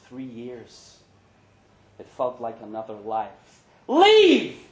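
A man's voice: medium pitch, animated, unhurried, darkish tone. A man narrating a short story dramatically at a live reading. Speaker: story reader. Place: indoor pub event. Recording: roomy live pub reading.

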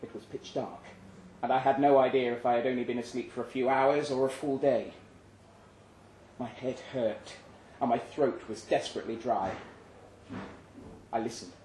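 A man reading literary fiction aloud at a live event, measured and suspenseful, with deliberate pauses. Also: mid-range voice, steady tone, dark tone. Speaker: story reader. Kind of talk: dramatic literary narration, read aloud live. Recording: roomy live venue, faint background noise.

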